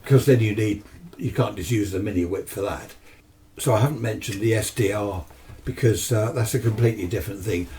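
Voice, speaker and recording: An older British man with a low, mellow voice talks in a casual, chatty way. He rambles enthusiastically, often putting questions to himself and answering them. The microphone sits some distance from him. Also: brisk. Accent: British English. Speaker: amateur radio hobbyist presenter. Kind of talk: casual rambling chat to camera.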